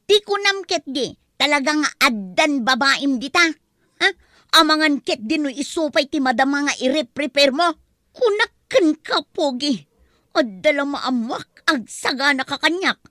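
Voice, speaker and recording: A woman playing a jealous, suspicious girlfriend who scolds with sharp, demanding questions in an Ilocano comedy audio drama. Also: sits high, animated, moderate pace, no echo, clean studio sound. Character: jealous, nagging, suspicious girlfriend, quick to accuse.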